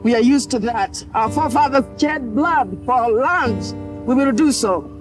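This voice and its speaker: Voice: mid-range voice, animated, measured pace, music in the background, lightly acted. An actor playing a defiant forest defender in a radio play, speaking in solemn, resolute declarations. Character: defiant forest defender ready to shed blood. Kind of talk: dramatic radio play monologue.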